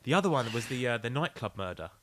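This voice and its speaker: A man's voice: medium pitch, very animated, quick pace, fairly bright tone, no echo. A British man chatting casually as a podcast co-host, throwing in joking, blokey quips. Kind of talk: casual chat, bantering reactions.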